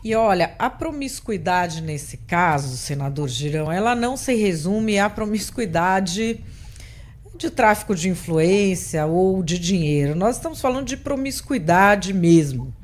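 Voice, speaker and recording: A woman's voice: fairly low pitch, expressive, measured pace. A middle-aged Brazilian woman hosting a political interview, speaking bluntly and indignantly in a conversational tone. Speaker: interview host. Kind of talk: political talk show interview.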